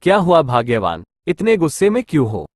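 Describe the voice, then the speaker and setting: A man acts out a village character from a Hindi cartoon moral story. The voice is fairly high for a man and swings with lively intonation. It is recorded very dry, with no room echo. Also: a little fast. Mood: concerned. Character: gullible forgetful village priest and scheming greedy merchant.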